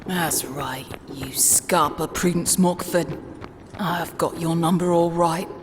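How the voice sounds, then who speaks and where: A woman's voice: fairly low pitch, takes it slowly. A woman voicing an ancient, cantankerous London street woman who scolds and mutters in a comic dub, with a London accent. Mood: menacing. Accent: British, London. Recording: clearly noisy background.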